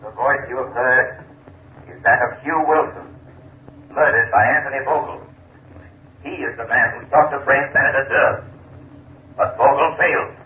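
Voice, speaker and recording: An actor playing a grave, menacing unseen avenger, solemn and declamatory, in 1930s radio style on an old recording. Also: male voice, medium pitch, moderate pace, pauses often, a little hiss or hum, lightly acted. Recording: echoey, distant, muffled old radio recording.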